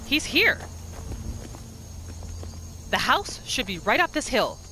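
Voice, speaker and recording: Lively, bright-voiced woman gamer. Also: on the high side, slow pace, slight background noise. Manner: expressive, playful. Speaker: gaming channel host. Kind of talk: roleplay gameplay narration, casual storytelling.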